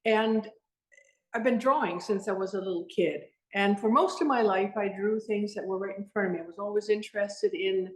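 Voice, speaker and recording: Woman from sweden and norway, passionate, restarts a lot, roomy echo around her. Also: mid-range voice. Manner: earnest, passionate, with frequent restarts. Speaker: artist guest. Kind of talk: casual interview talk. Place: at her art exhibition. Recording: echoey room.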